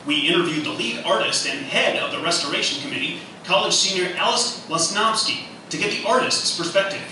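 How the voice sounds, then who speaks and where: A man's voice: high voice, brisk, pushed and bright. A man playing a formal TV news anchor in a live radio theatre play. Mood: formal, measured. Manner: formal announcer delivery. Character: male TV news anchor, formal, flustered by breaking news. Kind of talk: scripted newscast parody in live radio theatre. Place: live stage performance in echoey room. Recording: echoey hall, distant mic, noisy.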